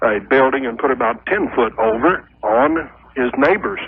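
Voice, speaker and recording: A man's voice: mid-range voice, wildly swooping pitch, normal speed, gravelly. A man calling a radio show, explaining his problem in a folksy, rambling, hesitant way. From United States, Oklahoma. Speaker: call-in radio caller. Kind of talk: casual explaining of a personal problem. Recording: tinny noisy phone line on radio.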